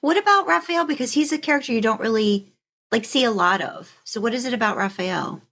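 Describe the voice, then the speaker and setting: A woman's voice: on the high side, lively intonation, brisk, creaky, a bit bright. A woman hosting a podcast in casual, rambling, informal conversation. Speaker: podcast host. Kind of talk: casual interview chat.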